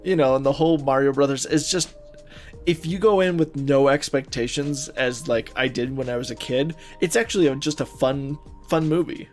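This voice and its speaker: A man's voice: medium pitch, moderate pace, soft background music. A man co-hosting a podcast, talking casually like a fan, informal and a little sweary. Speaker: podcast co-host. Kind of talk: casual chat about movies.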